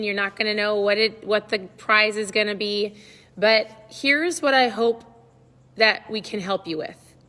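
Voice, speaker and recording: Middle-aged woman, slightly hoarse, upbeat livestream coach, casual chat, long room reverb. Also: medium pitch, bright, forceful tone. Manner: upbeat, encouraging, conversational. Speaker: online coach hosting a live check-in.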